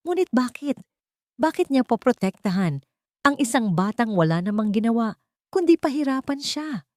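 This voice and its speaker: A woman's voice: mid-range voice, dark tone, no room sound, studio quality. A woman with a Filipino accent narrating a scripted dramatic story.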